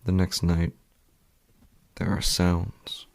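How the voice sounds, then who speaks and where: A man playing an uneasy, sleepless husband in a horror podcast, telling his story slowly and haltingly in a hushed, gravelly, husky voice. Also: low-pitched, completely flat delivery, slight background noise, full character voice. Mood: tense, bewildered. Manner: hushed, deliberate, halting with long pauses. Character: uneasy, sleepless husband haunted by faint night sounds. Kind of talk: slow first-person horror podcast narration.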